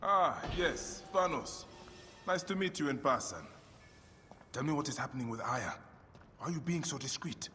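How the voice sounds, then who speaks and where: An actor playing a determined warrior of ancient Egypt in a video game, intense, direct and questioning. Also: male voice, fairly high pitch, lively intonation, moderate pace, pauses often, pushed and bright, faint music behind. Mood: insistent, wary. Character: determined male warrior seeking his beloved, ancient Egypt. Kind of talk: dramatic video game acting.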